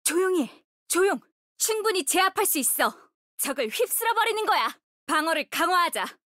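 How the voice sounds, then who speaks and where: A woman's voice: extremely high pitch, sing-song, normal speed, sharp tone, no echo, clean studio sound, heavily acted. A woman voicing a resolute, composed young female healer and guardian in a video game, speaking firmly with a solemn, commanding manner. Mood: firm, focused. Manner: solemn, commanding, short crisp delivery. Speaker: game character voice actor. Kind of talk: video game character voice lines.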